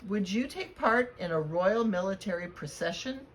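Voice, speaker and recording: A woman's voice: medium pitch, animated, normal speed, close to an everyday voice. A woman voicing a tiny, formal, commanding male emperor in a storybook. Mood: proud, welcoming. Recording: clear background noise.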